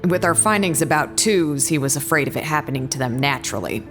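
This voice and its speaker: A woman's voice: sits low, expressive, fast, over music. A woman acting as a blunt, sardonic makeshift bartender in a casual, naturalistic audio drama. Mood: matter-of-fact. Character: blunt, sardonic female colleague tending a makeshift bar.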